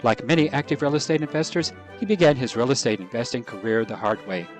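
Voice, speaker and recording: A man's voice: mid-range voice, fast, faint music behind. A man hosting an interview podcast, upbeat and formal as he reads his introductions. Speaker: podcast host.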